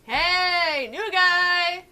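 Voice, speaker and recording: A woman's voice: very high voice, drawn-out words, sharp tone, pitched above the performer's own voice, full character voice. A woman voicing an eager girlish tank character in a visual novel game, shy at times and teasing at others. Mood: eager, calling out.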